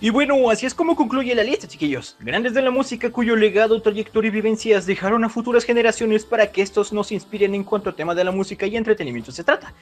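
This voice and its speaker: A man's voice: high voice, fast talker, hardly any pauses, soft background music. A man hosting a video with casual scripted commentary, chatting directly to his viewers. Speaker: YouTube host.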